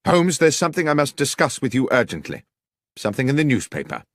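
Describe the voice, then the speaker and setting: A man with a British accent voicing a loyal, worried Victorian gentleman doctor in an audiobook, polite and earnest. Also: medium pitch, sing-song, fast, no echo, studio quality, voice pushed up. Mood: urgent.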